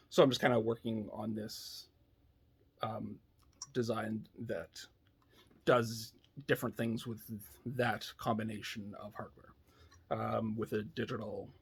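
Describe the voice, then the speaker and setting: A man giving a casual, unscripted technical demo in a laid-back, hesitant way, thinking aloud, with a husky, slightly gravelly voice. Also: lowish voice, level tone, measured pace. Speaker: electronics builder demonstrating a device.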